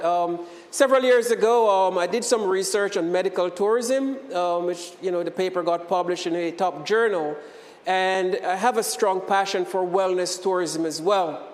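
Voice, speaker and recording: A middle-aged Jamaican man lectures students in a high, bright-pitched voice, friendly and full of ums as he keeps urging them to ask questions. He speaks into a lapel mic, and his voice rings through an echoey hall with a long reverb tail. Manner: friendly, filler-heavy, keeps inviting questions. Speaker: guest lecturer, university program director. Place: lecture room. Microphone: lapel microphone.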